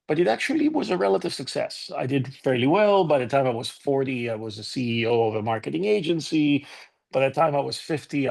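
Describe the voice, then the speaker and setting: Gravelly-voiced Greek-accented man in his fifties. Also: medium pitch, darkish tone. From Greece. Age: 50s. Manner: candid, conversational, invites debate. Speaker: conference speaker. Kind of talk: informal talk to an online audience. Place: online conference session.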